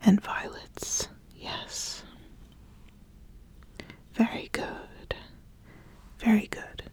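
A woman playing an ambitious witch who sizes up a would-be apprentice in a whispered ASMR roleplay, speaking deliberately and giving gentle instruction. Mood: gently approving. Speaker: ASMR host.

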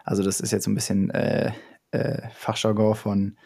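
A man's voice: fairly low pitch, little pitch movement, normal speed, vocal fry, warm tone. A young man in casual chat, explaining ideas step by step with frequent fillers and restarts.

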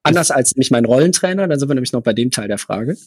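A man's voice: mid-range voice, animated, quick pace, darkish tone, husky. A man who co-hosts a podcast and coaches running, explaining things at length with many hesitations. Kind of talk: casual chat.

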